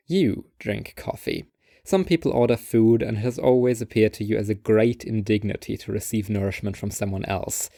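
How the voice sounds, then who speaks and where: Male narrator for literary short fiction, lower-pitched, closely recorded without room sound. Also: a little mellow, dry recording, clean studio sound. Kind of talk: scripted second-person story narration.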